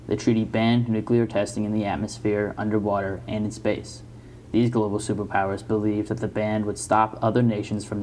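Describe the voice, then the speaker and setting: A man's voice: on the low side, darkish tone, slight background noise. A man reading scripted newsreel narration as the narrator.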